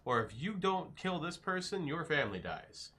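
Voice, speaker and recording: A man's voice: fairly high pitch, very animated, normal speed, bright, forceful tone. A man streaming live, casually reading and answering his chat with loose, joking replies.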